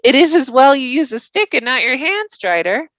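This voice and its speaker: A woman's voice: high voice, big pitch swings, normal speed, a bit bright, dry recording, dull audio, voice pushed up. A woman voicing a cheerful, teasing young male hobbit who coaxes his companions, in a storybook. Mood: amused, curious.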